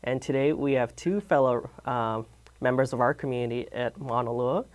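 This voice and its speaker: A man's voice: medium pitch, moderate pace. Hesitant male community show host. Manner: friendly, hesitant, many fillers. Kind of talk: casual interview hosting.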